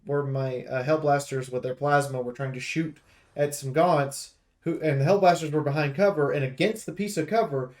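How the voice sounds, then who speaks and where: A man's voice: a little high, steady tone, moderate pace, darkish tone. A man giving casual, opinionated commentary on a game preview, speaking bluntly and with emphasis. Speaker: game reviewer and streamer.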